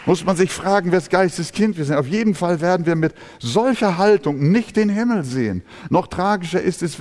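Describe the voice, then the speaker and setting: A man's voice: sits high, a little mellow. A man preaching a sermon as pastor, impassioned, sometimes mimicking grumbling churchgoers. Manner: impassioned preaching, acts out complaining voices. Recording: long hall reverb.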